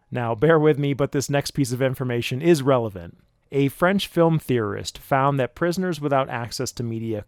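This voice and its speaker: A man's voice: mid-range voice, darkish tone, dry recording. A man reading a scripted explainer narration about his production work. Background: background music.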